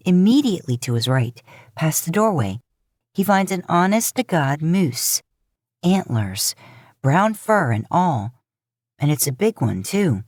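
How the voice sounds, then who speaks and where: A woman's voice: on the low side, darkish tone, dry recording, studio quality. A woman reading scripted fan fiction aloud as a story narrator. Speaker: podfic narrator.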